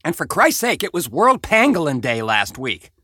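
Animated American man hosting, dry close sound. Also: a little high, normal speed, slightly rough, dry recording. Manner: wry, wordy, mock-grandiose phrasing. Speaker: podcast host. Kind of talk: casual comedic podcast talk.

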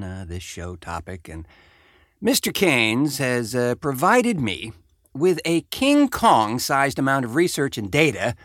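A man's voice: medium pitch, a bit bright, no room sound, studio quality. A man hosting a podcast in casual talk, with an exclamatory, wry, theatrical manner. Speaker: podcast host. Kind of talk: casual chat and show introduction.

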